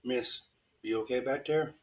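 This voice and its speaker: A man's voice: medium pitch, a little slow, dull audio, lightly acted. A man playing a terse, concerned police officer in a script table read, with a clipped, businesslike delivery.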